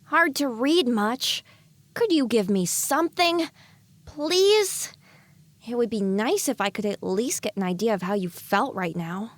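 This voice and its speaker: A woman voicing the innocent, cheeky inner thoughts of a girlish young pony in an audio drama, playful and expressive. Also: a little high, measured pace, dry recording. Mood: curious, musing.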